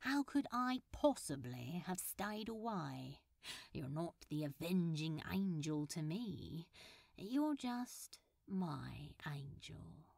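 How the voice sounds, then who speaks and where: Storybook hero: cocky, flirty man played by a woman, lazy drawl, unhurried. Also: low-pitched, sing-song, vocal fry, darkish tone, no room sound, studio quality, voice pushed down, heavily acted. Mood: tender. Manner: drawling, casual, hesitant asides. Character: flirtatious, cocky male superhero, teasing his villain lover.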